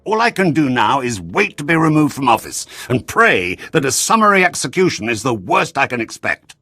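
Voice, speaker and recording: A man's voice: mid-range voice, sing-song, normal speed, pushed and bright, dry recording, clean studio sound, heavily acted. A man acting a bitter, disgraced senior diplomat in a video game, formal and aggrieved in manner. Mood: indignant, resigned. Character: bitter, disgraced senior male ambassador, weary and indignant.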